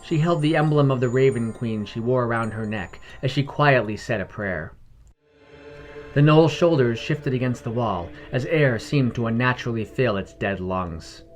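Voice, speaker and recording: A man's voice: mid-range voice, moderate pace, soft background music. A man reading scripted fantasy fiction as the narrator.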